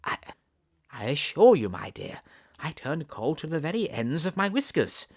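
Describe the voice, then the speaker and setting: A small, pompous old chess king from a storybook, acted out by a man. He is aghast and spluttering, with very dramatic swoops in pitch, heard close and dry. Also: very animated, moderate pace, creaky, no room sound, exaggerated voice. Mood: shaken.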